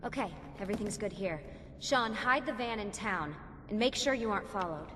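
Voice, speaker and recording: An actress playing a calm, businesslike leader of an assassin team in a video game cutscene, composed and directive. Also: female voice, medium pitch, brisk, lightly acted. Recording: long hall reverb, clear background noise.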